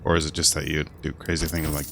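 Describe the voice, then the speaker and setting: A man's voice: extremely low pitch, little pitch movement, fast, gravelly, sharp tone, slight background noise. A man giving casual live gameplay commentary as a streamer, rambling and thinking aloud. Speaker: game streamer.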